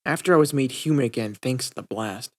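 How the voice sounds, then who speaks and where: A man's voice: medium pitch, a little fast, lightly acted. A man voicing a guarded, easygoing teenage girl in a casual, understated way. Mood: uneasy. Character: guarded, easygoing teenage girl, friendly but self-conscious.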